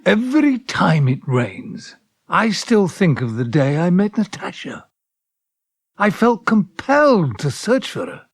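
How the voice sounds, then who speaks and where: A man's voice: fairly high pitch, full character voice. An actor playing a wistful elderly man in an audio drama, reminiscing about lost loves. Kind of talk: audio drama dialogue and narration.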